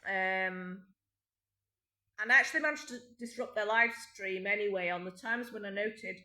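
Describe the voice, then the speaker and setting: A middle-aged woman with a British accent talks on and on in a clear, bright voice, aggrieved and rambling, stopping often to restart her sentences. Her voice bounces around an echoey room at home. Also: mid-range voice, moderate pace. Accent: British English. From Britain. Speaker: vlogger. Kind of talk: unscripted personal monologue. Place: home indoors.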